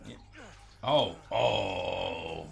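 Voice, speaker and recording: A man with a creaky voice giving casual, joking video game commentary, talking to himself as he plays. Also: deep voice, drawn-out words.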